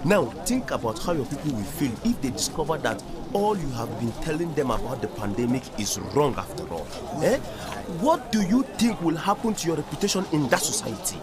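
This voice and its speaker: A skeptical Northern Nigerian trader who reproaches his friend with pointed questions. His slightly high voice rises and falls a great deal. Market crowd chatter fills the background. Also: big pitch swings, fairly quick. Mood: reproachful. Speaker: radio drama actor. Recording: busy market crowd behind.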